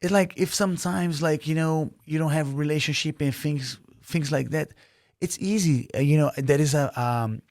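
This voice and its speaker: A man's voice: a little high, moderate pace, a little mellow, no echo, studio quality. A man from Brazil, a native Portuguese speaker with a Brazilian Portuguese accent, talking earnestly as a podcast guest with many fillers and restarts. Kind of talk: casual interview.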